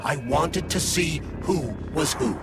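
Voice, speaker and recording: A calculating artificial assistant from a dramatic video game. His voice sits a little high and moves expressively, with formal, measured wording. Music runs behind him. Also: lively intonation. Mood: bitter, persuasive. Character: calculating, vengeful dead scientist living as an artificial assistant. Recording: game music under the dialogue.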